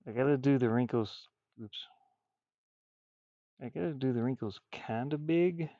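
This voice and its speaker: A man with a mid-pitched voice talks casually to a stream while he works on a drawing. He mutters and thinks aloud in a folksy, informal way. The sound is dry and slightly dull, like a modest microphone. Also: a little slow, mellow, dry recording. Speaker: streamer and hobby artist.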